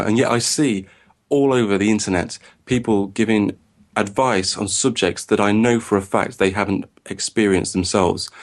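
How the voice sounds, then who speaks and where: A man co-hosting a podcast in casual chat, rambling and repeating words as he thinks, his voice a little croaky. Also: on the low side. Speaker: podcast co-host.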